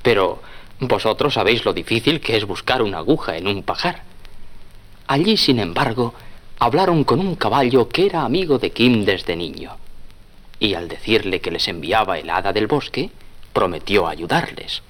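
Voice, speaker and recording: A man's voice: on the low side, animated, moderate pace, a bit bright. A man narrating a scripted children's tale in a storytelling style.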